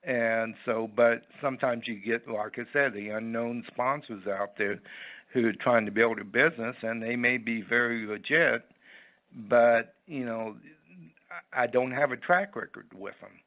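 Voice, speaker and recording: A man giving unscripted interview answers in a halting, rambling, folksy way over a phone line. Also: mid-range voice. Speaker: interview guest, website owner. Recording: muffled, tinny phone line.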